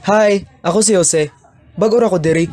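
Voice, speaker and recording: A woman's voice: medium pitch, normal speed, dark tone, faint music behind, lightly acted. A woman voicing a friendly, earnest young working man in an amateur radio drama.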